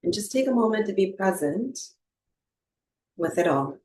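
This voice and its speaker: A woman teaching yoga nidra and breathwork, giving guided meditation instructions in a gentle, soothing manner with long pauses. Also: medium pitch, expressive, slight background noise. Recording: roomy, echoing voice recording.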